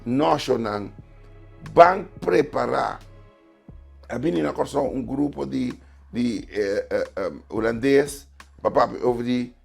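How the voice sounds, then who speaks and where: A man's voice: medium pitch, takes it slowly, creaky, darkish tone, soft background music. A man appearing as a writer and guest on a talk show, arguing his points emphatically. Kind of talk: studio talk show discussion.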